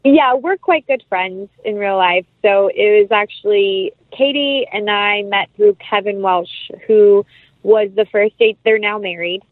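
A dry, narrow phone-like call carries a woman's voice at an average pitch. She talks as an actress being interviewed, chatty and informal, peppering her speech with casual fillers. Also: unhurried, dry recording. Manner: chatty, informal, uses "like" and "you know" often.

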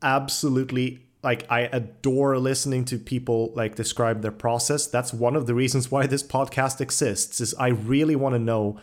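A man's voice: mid-range voice, steady tone, moderate pace, hardly any pauses. A man hosting a podcast interview, speaking in an enthusiastic, encouraging way and feeling his way through questions. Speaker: podcast host. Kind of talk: conversational interviewing.

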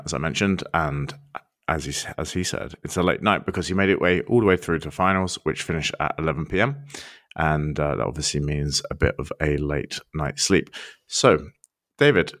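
Male podcast host, very deep voice, dry close sound, upbeat interviewer. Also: moderate pace, fairly bright tone, dry recording.

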